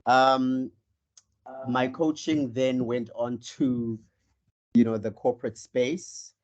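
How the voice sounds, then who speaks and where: A man's voice: mid-range voice, steady tone, slow. A South African man from Cape Town, a life coach giving an online presentation and introducing himself with frequent ums and self-corrections. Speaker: life coach, guest speaker. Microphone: video call. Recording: muffled video call audio.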